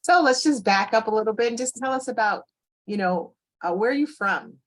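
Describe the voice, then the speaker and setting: A woman's voice: fairly high pitch, animated, a little fast. A woman hosting an interview, asking questions enthusiastically and rambling with many fillers. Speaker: interview host.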